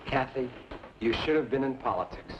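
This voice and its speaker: A man's voice: mid-range voice, expressive, fairly quick, natural voice. An actor playing a weary, reluctant small-town newspaperman and father in an old-time radio western. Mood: resigned. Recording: muffled vintage radio recording, faint noise.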